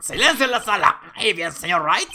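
Man playing a forceful, pompous old judge. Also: sits high, big pitch swings, moderate pace, slight background noise, pitched above the performer's own voice, heavily acted. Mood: stern, formal. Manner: formal, pronouncing. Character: stern, pompous, easily flustered old male judge.

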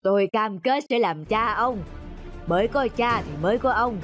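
A man playing a stern, wise elderly scholar in a cartoon folk tale, admonishing in a measured way. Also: high voice, fairly bright tone, soft background music. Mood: sly, measured. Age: older.

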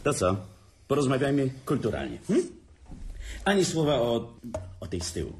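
A man's voice: medium pitch, wildly swooping pitch, moderate pace, a little hiss or hum. An actor playing a drunk, crude older brother who taunts his sibling, slurring and sneering in a radio play. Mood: mocking. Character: drunk boorish man, mocking older brother. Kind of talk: radio drama acting.

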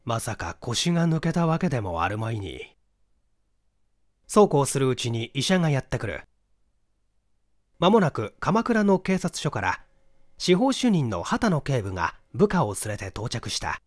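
A man narrating a mystery story from a script in an expressive storytelling manner. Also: mid-range voice, normal speed, dry recording, studio quality. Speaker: audiobook narrator, stage actor.

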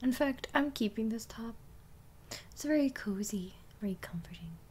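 A woman's voice: fairly high pitch, expressive, normal speed, a little mellow, a little hiss or hum, lightly acted. A young woman playing a bossy, teasing step-sister in an intimate ASMR roleplay, often dropping toward a whisper. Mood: teasing, relaxed.

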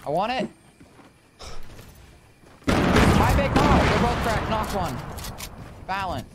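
A young man with a Texan accent, sick and sleepy, making excited, repeated callouts to his teammate. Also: high-pitched, slow pace, heavy background noise. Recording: loud gunfire behind game chat. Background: game sounds and gunfire.

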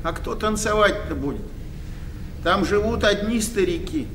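An actor in a radio play voicing a kindly, awkward old countryman, halting and plain-spoken. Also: high-pitched, animated, a bit bright. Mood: gloomy, reluctant. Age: older. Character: awkward, kindly old village widower, reluctant suitor. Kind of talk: 1990 Russian radio play acting. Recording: roomy, distant radio recording with faint hiss.

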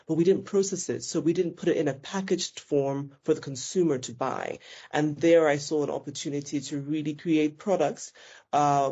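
A woman speaks in a warm, relaxed tone and answers interview questions with care. She often backs up and rephrases mid-sentence. The audio is a little dull and muffled. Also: deep voice. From Zambia. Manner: thoughtful, self-correcting, with frequent restarts. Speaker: business founder being interviewed.

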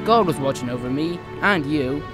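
An earnest farm boy of fifteen, played by an actor in a period audio drama. He is polite and dutiful, his pitch very lively, with music clearly behind him. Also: moderate pace, close to an everyday voice. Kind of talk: family audio drama acting.

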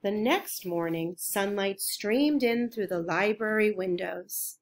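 A woman reads a children's picture book aloud at a slow, unhurried pace. Her pitch sits in the middle range for a woman. She tells the story carefully and expressively, as for young listeners. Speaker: storybook reader.

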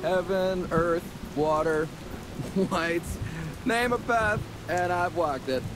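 An actor playing a cocky, smooth-talking young scoundrel in a video game, with a breezy, chummy manner. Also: male voice, high-pitched, takes it slowly, background noise. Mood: breezy, persuasive. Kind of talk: video game character acting. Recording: music and rain behind the voice. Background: game music, rain.

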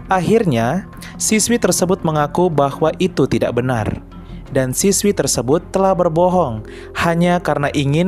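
A man reading a scripted news report as a voice-over, in a measured and earnest tone. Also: a little high, a little mellow. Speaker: channel narrator. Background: faint background music.

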